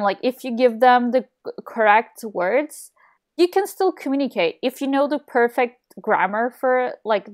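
A young woman from Turkey chatting casually while streaming a game, rambling with lots of fillers and restarts, recorded at a desk mic in a small room at home. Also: medium pitch. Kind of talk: casual chat while gaming.

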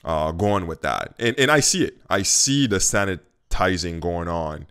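Deep, gravelly-voiced man vlogging from home. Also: sing-song, a little slow, fairly bright tone. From Haiti. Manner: conversational and candid, with frequent fillers. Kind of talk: casual talking-head vlog. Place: home kitchen, indoors. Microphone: studio mic on a stand in front of him.

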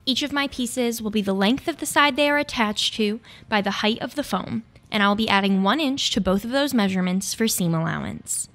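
Craft tutorial host: young female, fairly high pitch, scripted instructional read over background music. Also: brisk, few pauses, fairly bright tone. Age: young adult.

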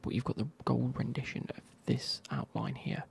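A man, reviewing football kits in a soft, casual whisper with wry asides. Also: mid-range voice, sing-song, normal speed, creaky.

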